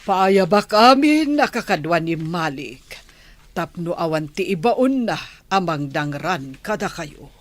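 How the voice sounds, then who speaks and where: An authoritative noble mother in a radio drama. She speaks slowly and composedly, with lively pitch movement. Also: no room sound. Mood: stern, composed. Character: authoritative noble village mother, calmly questioning. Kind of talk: Ilocano radio drama acting.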